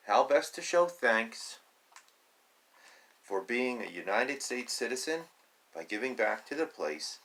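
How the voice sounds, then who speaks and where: A man reads a children's book aloud in a slightly low-pitched voice with a bright, forceful edge. He has a teacherly manner, setting out key words emphatically and adding plain, simple asides to explain them. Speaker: reader of a children's picture book.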